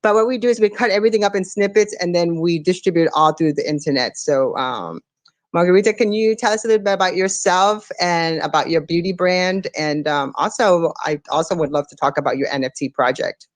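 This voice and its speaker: Woman host on a phone mic, low-ish and dry-sounding, casual and chatty. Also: a little fast, dry recording. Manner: chatty, apologetic, with filler words. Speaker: podcast co-host. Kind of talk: hosting a live audio chat. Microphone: Android phone.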